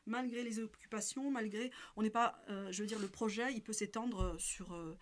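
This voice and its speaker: A woman gives a spiritual talk on a live stream and keeps pausing, restarting and filling gaps with small hesitations. Her voice sits at a middle pitch. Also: steady tone, a little hiss or hum. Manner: hesitant, many fillers and restarts. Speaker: religious speaker.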